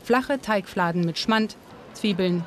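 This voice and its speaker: A woman narrating a documentary and interviewing, measured and clear. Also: mid-range voice, slight background noise. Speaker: documentary presenter. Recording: music bed under voice.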